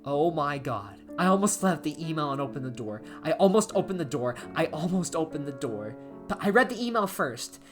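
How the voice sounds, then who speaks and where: Anxious, paranoid shut-in narrating a horror journal, played by a woman; deep register, very expressive, halting delivery, music underneath. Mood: shocked, unsettled. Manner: halting, emotive, with stammered repeats. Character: anxious isolated diarist unravelling into paranoia. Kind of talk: dramatic first-person horror story narration.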